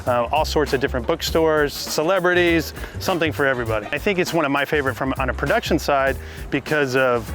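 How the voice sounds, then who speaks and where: A man's voice: fairly high pitch, fast talker, a bit bright, faint music behind. A man, a production manager, speaking plainly in an on-camera interview.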